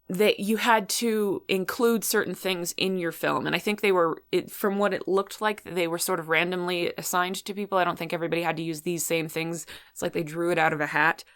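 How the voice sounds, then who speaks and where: A woman's voice: lowish voice, a bit bright. A woman hosting a solo podcast, talking casually in a rambling, chatty way about what she watches. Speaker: podcast host.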